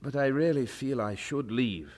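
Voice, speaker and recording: Man as troubled young man, polite, halting, earnest, lively intonation, middle pitch, audio drama. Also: a crowd in the background, close to an everyday voice.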